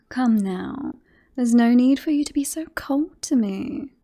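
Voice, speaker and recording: Woman playing a slow, sultry flirtatious royal. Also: a little high, expressive, dark tone, heavily acted. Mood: playfully indignant. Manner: teasing, sultry, breathy sighs and whispery phrases. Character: flirtatious, smug, romantic royal teasing a beloved knight. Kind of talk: ASMR roleplay acting.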